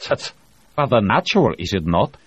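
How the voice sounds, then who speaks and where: A man doing an excited German woman scientist. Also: on the low side, moderate pace, slightly rough, no room sound, lo-fi sound, pitched above the performer's own voice, full character voice. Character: eager young German woman physicist.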